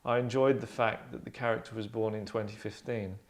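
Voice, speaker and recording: A man's voice: fairly low pitch, flat delivery, brisk, vocal fry. A man in his thirties talking casually and dryly in a group discussion, rambling as he goes, like a writer hosting a chat. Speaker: writer and host. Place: furnished indoor lounge.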